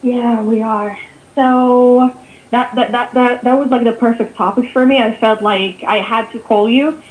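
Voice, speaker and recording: A woman's voice: fairly high pitch, fairly even intonation, measured pace, darkish tone, low-quality recording. A woman in her twenties from Israel, a native Hebrew speaker, talking hesitantly on a call with many restarts. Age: 20s. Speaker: caller. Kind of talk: casual chat on a call.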